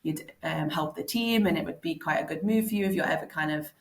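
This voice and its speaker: A woman's voice: low voice, brisk, creaky. A young woman with a Liverpool accent, chatting as a podcast guest about her sports career. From Liverpool, England. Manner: chatty, many restarts and fillers. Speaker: guest, basketball player. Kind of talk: casual interview chat. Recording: echoey room.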